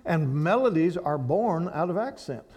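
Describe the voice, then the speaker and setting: A man preaching to a church congregation, animated and rambling, with frequent restarts. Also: fairly high pitch, moderate pace. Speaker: guest speaker at a church. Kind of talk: sermon to a congregation.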